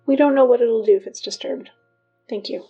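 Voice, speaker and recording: A woman's voice: on the high side, brisk, slightly rough. A woman playing an exasperated school announcer in a fiction podcast, reading notices with dry, wry asides. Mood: brisk, official. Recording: dull lo-fi PA sound, music behind.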